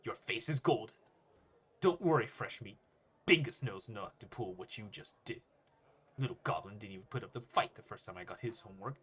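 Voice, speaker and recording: A menacing high school bully as a man plays him in a narrated story. He boasts and threatens with a husky, forceful voice that swings widely in pitch. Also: expressive, brisk. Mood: threatening, smug.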